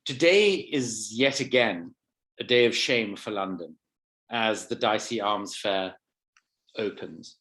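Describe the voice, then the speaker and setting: A middle-aged man from South Africa giving a measured, emphatic campaign rally speech with a sore throat. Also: fairly low pitch, a bit bright. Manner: measured, emphatic, slows for weight. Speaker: rally speaker.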